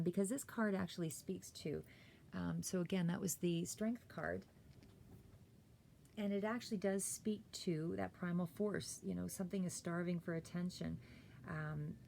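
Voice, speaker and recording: Brief: hoarse woman tarot reader, low gravelly mellow voice, rambling delivery, faint hum behind. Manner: rambling, many fillers and self-corrections. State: hoarse, clearing throat. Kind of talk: casual tarot reading monologue.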